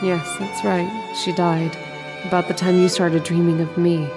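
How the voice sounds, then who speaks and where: A woman plays a dreamlike lover who is tender, insistent and eerily knowing. The voice sits a little low and is intimate, in old-time radio horror melodrama. Violin music plays clearly underneath. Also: flat delivery, measured pace, lightly acted. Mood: coaxing, gentle.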